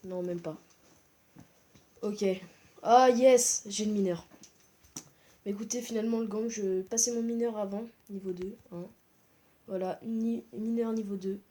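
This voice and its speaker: A woman's voice: medium pitch, steady tone, a little slow, pauses often, mellow. A woman with a stuffy, congested voice from a cold, giving live gameplay commentary in short, repeated exclamations. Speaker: gaming channel host.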